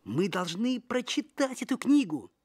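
A man's voice: high-pitched, very animated, unhurried, a little mellow, husky, heavily acted. A man playing a kind, eager young bewitched dwarf in a cartoon, earnest and boyish. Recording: music behind the voice.

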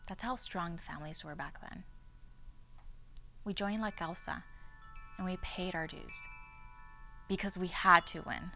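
A woman's voice: fairly low pitch, a little slow, long pauses, sharp tone, soft background music. A young woman playing an earnest farmworker union activist in a dramatized first-person monologue. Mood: solemn, proud. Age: young adult. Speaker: actor in oral history dramatization.

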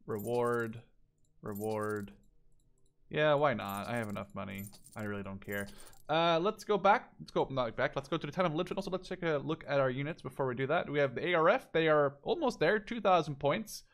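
A man in his twenties giving casual let's play commentary, thinking out loud in short phrases as if talking to himself. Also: medium pitch, lively intonation, a little fast. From Canada or Netherlands. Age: 20s. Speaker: gaming YouTuber playing and commentating.

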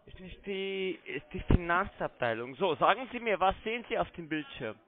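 A man's voice: sits high, expressive, moderate pace, noisy background. A man working as a call centre agent, talking on a sales call and often repeating words. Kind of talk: phone sales conversation. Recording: narrow-band phone line.

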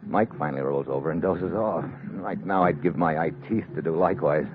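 An actor playing a tough, wary American secret agent in an old-time radio adventure, terse and hard-boiled. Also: male voice, sits low, fairly quick, background noise, natural voice. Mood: tense narration. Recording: muffled vintage radio recording with music.

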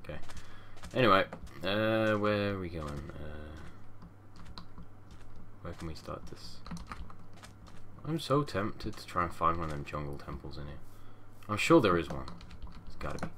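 A man's voice: deep voice, expressive, unhurried, long pauses, a bit bright. A young man hosting a casual game let's-play, rambling and chatty through a headset, a little nasal and sniffly as he gets over a cold. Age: young adult. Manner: rambling, chatty, mumbles asides. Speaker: gaming channel host. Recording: noisy home mic with keyboard clicks.

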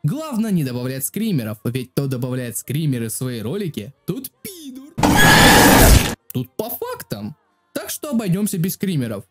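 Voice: medium pitch, wildly swooping pitch, normal speed, warm tone. A video creator narrating a casual joking tutorial in a crude, slangy, self-deprecating way. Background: music.

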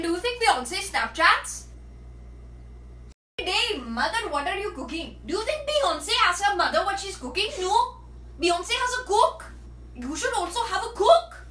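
Woman putting on a high, nagging immigrant mother. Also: bright, forceful tone, recorded from a distance, exaggerated voice. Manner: scolding, rhetorical questions. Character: nagging immigrant mother shaming her child with Beyonce. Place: small home kitchen. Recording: echoey kitchen phone recording.

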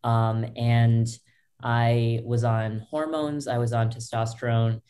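A young woman with a low voice, a podcast guest telling her own story in loose talk full of fillers and restarts, over a video call from a small bedroom. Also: flat delivery, slow pace, dark tone. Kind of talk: candid personal story. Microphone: laptop webcam, video call.

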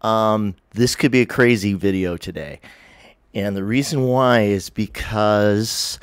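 A middle-aged man talks to his webcam from his living room, sounding stuffy and sniffly from a head cold. His voice sits very low, and he rambles along with frequent um's and self-corrections. The dry sound is close, with no room echo. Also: clear, resonant tone. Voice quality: nasal, sniffly. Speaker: spiritual vlogger. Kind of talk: casual talking-to-camera vlog.

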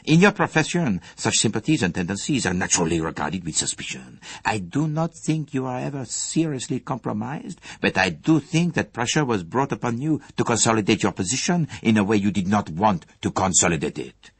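A courteous foreign detective, acted out by a male audiobook reader, formal and gently probing. His phrasing is precise and measured, his pitch swings widely, and the voice is a little rough-edged in a very dry recording. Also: sing-song, no echo, full character voice. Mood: earnest, advising.

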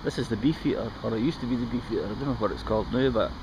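A chatty middle-aged Scottish man talks into a handheld action camera while out on a bike ride. His voice sits at an ordinary middle pitch, and the outdoor camera audio catches clear traffic noise and ambient sound behind him. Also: very fast. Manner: chatty, rambling, pointing things out. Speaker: vlogger. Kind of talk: casual commentary while cycling. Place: outdoors on canal paths and roadsides. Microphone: action camera held or mounted on the bike.

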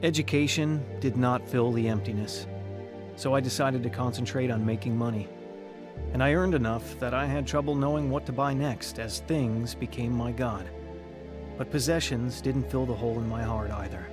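A man's voice: mid-range voice, fairly even intonation, moderate pace, music in the background, natural voice. An actor playing a searching, skeptical young man who tells of his troubled past in a radio drama, casual and wry. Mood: reflective. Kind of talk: radio drama acting and narration.

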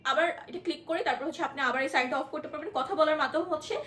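High-voiced Bangladeshi woman demonstrating a product. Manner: halting, explanatory, with English words mixed in. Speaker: product presenter. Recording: echoey room with background noise.

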